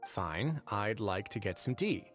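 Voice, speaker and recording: A man's voice: on the low side, lively intonation, fairly bright tone. A man playing a composed, drily witty warden in a video game, speaking politely and without hurry. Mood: relaxed, dry. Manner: unhurried, wry, polite. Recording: music under the voice.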